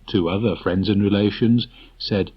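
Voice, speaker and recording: A man's voice: lowish voice, fairly even intonation, normal speed, breathy edge. A man narrating a children's story from a script as an audiobook reader. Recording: muffled old cassette tape transfer.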